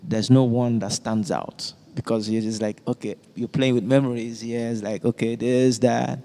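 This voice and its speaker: A man's voice: mid-range voice, fairly even intonation, normal speed, vocal fry, warm tone. A man hosting a reflective group conversation in a museum exhibition space, hesitant and searching for his words. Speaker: discussion host. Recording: long hall reverb.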